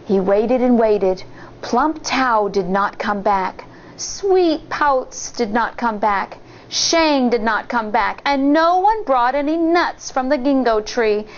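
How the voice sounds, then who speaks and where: Slow, higher-voiced woman reading a storybook. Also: muffled recording. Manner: deliberate, expressive storytelling. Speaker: teacher reading aloud to children. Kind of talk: storybook read-aloud with commentary.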